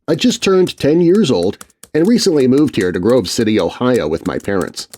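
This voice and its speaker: A man narrating a scary children's story aloud, reading expressively and dropping to a hush at tense moments. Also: mid-range voice, sing-song, moderate pace.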